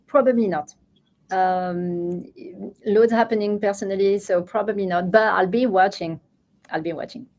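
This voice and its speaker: A woman's voice: medium pitch, fairly even intonation, moderate pace, darkish tone, slight background noise. Informal woman giving casual advice. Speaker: presenter at an online user group.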